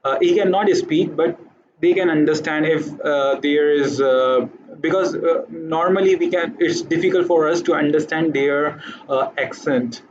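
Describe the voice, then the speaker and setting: A man's voice: fairly high pitch, measured pace, muffled recording, noisy background. A man with an Urdu accent, a native Urdu speaker, giving a hesitant motivational talk to camera, full of fillers and restarts. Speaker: vlogger.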